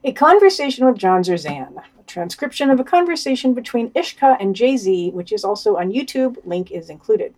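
A woman's voice: on the high side, sing-song, moderate pace, hardly any pauses, darkish tone, rough recording. A woman reading written summaries and quotes aloud on a podcast, adding wry commentary. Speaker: podcast news reader.

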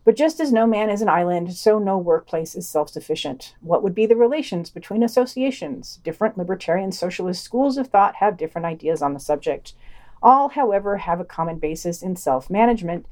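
A woman's voice: lowish voice, expressive, a little fast, a little mellow. A woman hosting a podcast, reading scripted commentary and quotations with wry, dry asides. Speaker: podcast host.

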